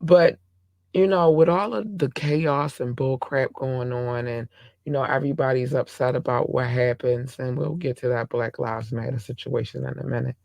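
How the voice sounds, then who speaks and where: Hoarse male podcast host, gravelly and dry, passionate and rambling. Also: mid-range voice, steady tone, moderate pace, a little mellow, no room sound. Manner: impassioned, rambling with restarts. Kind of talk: casual talk-show commentary.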